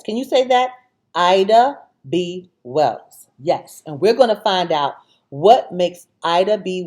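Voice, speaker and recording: A woman hosting a read-aloud for children, reading deliberately and speaking directly to her young listeners. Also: fairly low pitch, darkish tone.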